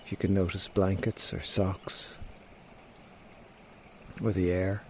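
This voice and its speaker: A man leading a guided body scan meditation, giving gentle, unhurried instructions with frequent pauses. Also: low-pitched, little pitch movement, a little hiss or hum. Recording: faint outdoor nature sounds behind. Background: birds and nature sounds.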